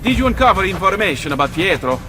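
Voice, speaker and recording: An actor playing a grave, suspicious leader of thieves in a video game, resolute, with an Italian accent. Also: male voice, high-pitched, big pitch swings, fast, music in the background. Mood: questioning. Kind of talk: dramatic video game cutscene acting.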